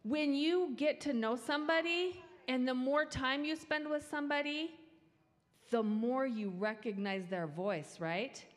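A woman's voice: high voice, measured pace. A middle-aged woman leading a playful children's talk with a handheld mic on a church stage. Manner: animated, playful, talks to kids. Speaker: children's story leader. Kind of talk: lively children's talk with a game. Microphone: handheld microphone. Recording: reverberant church hall.

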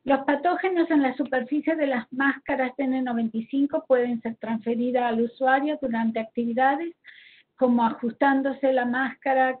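A woman from Argentina with an Argentine Spanish accent explains slides as a health professional in a seminar, hesitantly searching for words, over an online call connection. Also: sits high. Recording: tinny phone-like connection.

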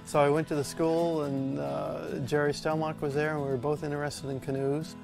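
An older man who builds canoes talks casually in his woodworking shop, pausing often with fillers. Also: on the high side, little pitch movement, creaky. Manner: halting, many fillers. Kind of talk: casual interview. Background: background music.

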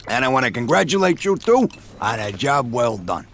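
An actor playing a loud, middle-aged American mobster with an East Coast city accent, husky, chummy but menacing. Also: male voice, medium pitch, sing-song, unhurried, exaggerated voice. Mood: jovial, brash. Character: loud, profane middle-aged male mobster recruiting killers.

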